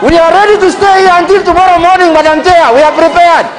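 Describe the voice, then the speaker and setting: A middle-aged man, a member of parliament at a desk microphone, arguing defiantly and rising to shouts. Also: very high-pitched, fairly even intonation, fast. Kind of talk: combative floor debate. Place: large parliament chamber. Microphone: desk microphone, standing. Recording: long hall reverb, faint crowd murmur.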